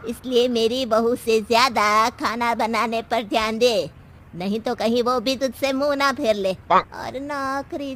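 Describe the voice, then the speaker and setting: High-pitched, feisty old mother-in-law, acted by a woman. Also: fairly bright tone, a little hiss or hum. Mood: scolding, teasing. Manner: brash, scolding, sarcastic. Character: bold, feisty, teasing elderly Indian mother-in-law. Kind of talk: children's story cartoon acting.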